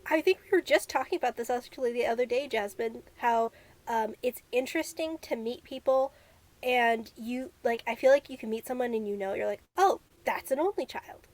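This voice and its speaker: A woman's voice: fairly high pitch, normal speed. A young woman who was homeschooled, a guest in an interview, talking about growing up in a big family in an earnest, reflective way. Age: young adult. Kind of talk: conversational interview.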